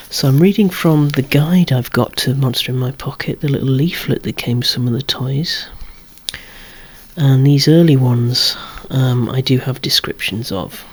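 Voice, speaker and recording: Hushed whispering ASMR presenter of unclear sex. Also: medium pitch, breathy edge. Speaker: presenter of a toy collection. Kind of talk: whispered ASMR show-and-tell of collectible toys.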